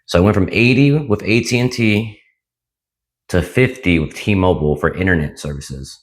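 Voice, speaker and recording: Hoarse, very deep-voiced male vlogger, casual chatty delivery. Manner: casual, frequent "right?" asides. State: hoarse, recovering lost voice. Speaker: laundromat owner and channel host. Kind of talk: casual money-saving vlog.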